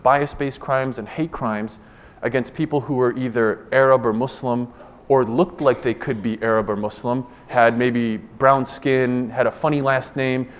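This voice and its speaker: A man in his forties from Ohio, giving a formal conference presentation as a government official. He speaks earnestly and deliberately, often restarting and repeating words. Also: mid-range voice, smooth. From Ohio, United States. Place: conference room.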